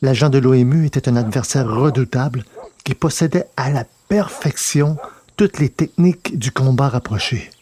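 A man narrating a scripted dramatic story in a measured, literary delivery. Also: medium pitch, dark tone. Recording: noticeable background noise.

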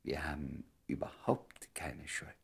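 An actor playing a tender, eager middle-aged man in love in a radio drama, speaking intimately and earnestly in a husky, gravelly voice. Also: rumbling low, little pitch movement, a little slow, heavily acted. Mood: gentle, coaxing. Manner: intimate, half-whispered, earnest.

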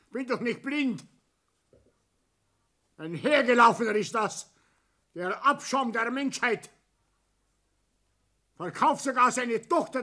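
High-pitched, blustering old Bavarian father. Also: bright, forceful tone, exaggerated voice. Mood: furious, threatening. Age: older. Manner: blustering, commanding, browbeating. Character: domineering, hot-tempered old Bavarian father and businessman. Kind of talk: German radio play acting.

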